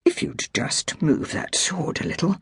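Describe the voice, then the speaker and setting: A narrator voicing a calm, wry man who speaks in a soft, half-whispered murmur. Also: medium pitch, measured pace, husky, no echo, clean studio sound, heavily acted. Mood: placating.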